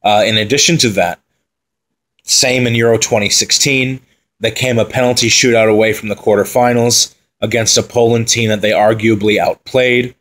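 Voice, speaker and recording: A man's voice: fairly low pitch, fairly even intonation, normal speed, a bit bright. Casual man previewing a football side. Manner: conversational, with fillers and asides. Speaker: football pundit. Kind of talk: solo commentary on team previews.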